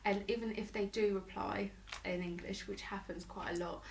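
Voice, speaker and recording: A woman's voice: mid-range voice, steady tone, normal speed, creaky. A Polish woman, a parent being interviewed, answering casually with many hesitations and restarts. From Poland. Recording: echoey room, mic at a distance, faint noise.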